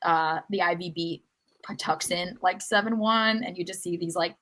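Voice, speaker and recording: A woman's voice: on the low side, moderate pace, a bit bright. A woman hosting a podcast, chatting casually about sports in an enthusiastic, talkative way. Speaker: podcast host.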